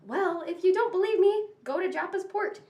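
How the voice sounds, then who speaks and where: A woman's voice: very high-pitched, moderate pace, lo-fi sound. A woman playing a curt, impatient servant in an amateur audio drama. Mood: exasperated. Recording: echoey room, far from mic.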